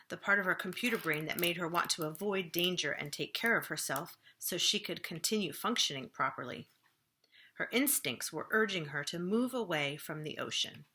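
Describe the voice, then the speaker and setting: A woman reading a children's storybook aloud expressively, with deliberate dramatic emphasis. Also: lowish voice, sharp tone.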